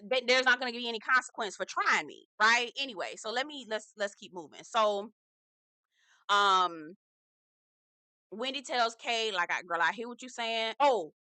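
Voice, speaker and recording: A woman's voice: a little high, animated, normal speed, bright, forceful tone, dry recording. A woman recapping reality TV in a sassy, blunt, casual way, swearing freely and asking "okay?" often. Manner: sassy, blunt, swearing, heavy slang, lots of "okay?" and "girl".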